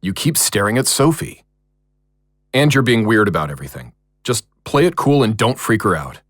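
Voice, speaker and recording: Level-headed male team leader played by a man for audio drama: deep, expressive, calm, firm and protective. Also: normal speed, no room sound, clean studio sound. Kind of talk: naturalistic audio drama acting.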